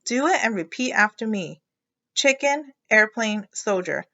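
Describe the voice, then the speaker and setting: Woman playing a bright, coaxing mother frog. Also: medium pitch, expressive, a little slow, dull audio. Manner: coaxing, instructing step by step. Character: encouraging, patient but firm adult mother frog.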